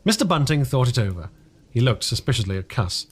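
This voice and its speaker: A man reading fiction aloud as a dramatic audiobook narrator, deliberate and expressive, with the occasional stumble of a single take. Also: medium pitch, breathy edge.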